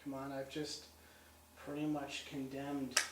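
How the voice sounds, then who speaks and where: A man's voice: mid-range voice, monotone, a little slow, very noisy. Friendly man chatting to a ghost. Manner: friendly and chatty, lightly teasing. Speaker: paranormal investigator. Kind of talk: talking to a spirit. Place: large old theatre, indoors. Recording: echoey room from a distance.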